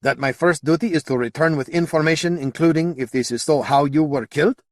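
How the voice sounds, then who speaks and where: A man's voice: on the high side, a little fast, no room sound, studio quality, voice pushed up, close to an everyday voice. A man voicing a stern, commanding admiral of a space navy, speaking formally in an audiobook.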